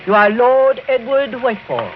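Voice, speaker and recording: An actress playing a formal, deferential French housekeeper of a sinister chateau in a radio horror drama. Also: female voice, a little high, very animated, moderate pace, soft background music. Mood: formal, uneasy. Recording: muffled old radio with clear noise.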